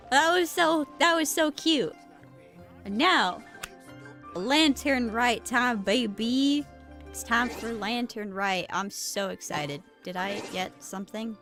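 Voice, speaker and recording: A woman's voice: mid-range voice, fairly bright tone. A young woman doing casual live gameplay commentary as a streamer, informal and thinking aloud. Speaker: game streamer.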